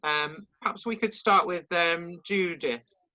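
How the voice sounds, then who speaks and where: A man's voice: sits high, slow, pushed and bright, lo-fi sound. A man interviewing church members, asking polite, encouraging questions.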